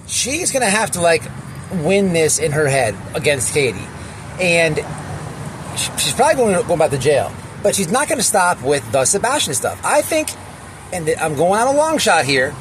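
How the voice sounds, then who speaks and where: Man, high-pitched, opinionated, halting and repetitive, crude slang, casual reaction vlog, noisy background. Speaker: online commentator.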